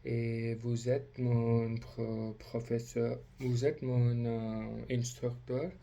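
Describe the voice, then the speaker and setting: A man with a non-native accent practising a polite role-play inquiry as a language learner, speaking haltingly with many hesitations. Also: medium pitch, little pitch movement, vocal fry, warm tone, highs cut off, slight background noise. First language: not French.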